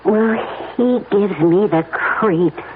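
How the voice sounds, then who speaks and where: An actress playing a playful, affectionate and nagging wife in an old-time radio drama. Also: female voice, mid-range voice, slow, slight background noise, lightly acted. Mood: uneasy, nagging. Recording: tinny band-limited radio recording, music behind.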